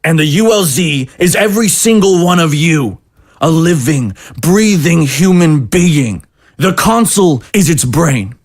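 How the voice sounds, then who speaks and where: Audio drama military commander, male actor: higher-pitched man, rousing and emphatic orator, pauses for effect. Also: lively intonation. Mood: fervent, rallying. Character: fiery military commander rallying troops against zombies.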